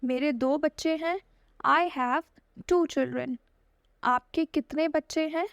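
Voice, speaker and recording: A woman with a high voice reads each short English phrase very slowly and carefully, like a drill for learners. The sound is dry and close, with no room echo. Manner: very slow, deliberate English, quicker Hindi. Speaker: language teacher. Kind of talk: slow drill reading of phrase pairs.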